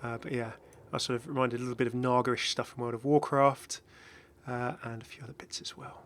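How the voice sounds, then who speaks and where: Man, gaming video host, bright forceful tone, creaky edges, casual rambling commentary, enthusiastic asides. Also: mid-range voice, little pitch movement, fast talker. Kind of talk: casual off-the-cuff game commentary.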